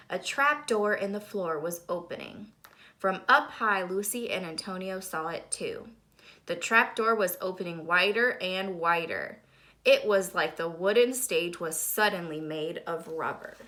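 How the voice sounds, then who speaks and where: A woman reading a children's storybook aloud in an expressive, dramatic, suspenseful way. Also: mid-range voice. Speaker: storybook reader.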